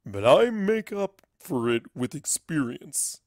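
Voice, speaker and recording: Casting: male actor as a grandiose elderly cartoon wizard unicorn, jovial, fairly high for a man, dry close sound. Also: big pitch swings, slow, a little mellow, no echo. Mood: mischievous, matter-of-fact.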